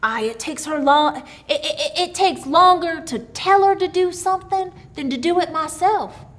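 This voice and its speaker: A woman reading aloud, voicing a gruff, irritable village husband who grumbles in country dialect. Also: very high-pitched, moderate pace, voice pushed up. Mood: grumbling.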